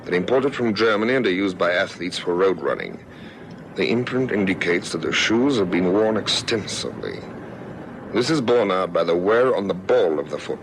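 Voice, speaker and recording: An actor playing a dogged, sceptical police lieutenant with a South African accent and a brisk, dry, questioning manner in a vintage radio drama. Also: male voice, low-pitched, expressive, gravelly, noisy background. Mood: thoughtful.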